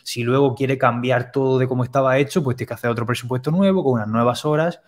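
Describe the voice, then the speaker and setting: A young man calmly explaining pricing step by step on a video call, headset mic in a home room. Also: mid-range voice. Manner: plain, step-by-step explanations with quick asides. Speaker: web development teacher, guest expert.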